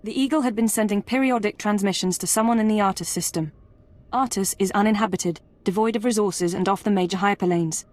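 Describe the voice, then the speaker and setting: An actress playing a self-assured, analytical intelligence officer in a video game, formal and controlled. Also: female voice, mid-range voice, a little fast, a little mellow, lightly acted. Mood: businesslike. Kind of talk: video game drama acting.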